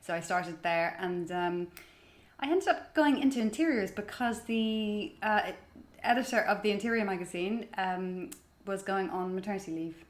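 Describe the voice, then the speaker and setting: A woman's voice: medium pitch, moderate pace. Chatty Irish woman in an echoey room. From Ireland. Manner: chatty, self-deprecating, many restarts and fillers. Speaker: guest, magazine editor. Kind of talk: casual interview chat. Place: large high-ceilinged room in her house.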